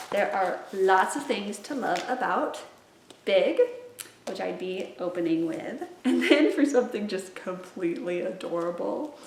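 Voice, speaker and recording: A woman hosting a casual book recommendation video as a children's librarian, speaking in an enthusiastic, expressive way. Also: mid-range voice. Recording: echoey room with faint background noise.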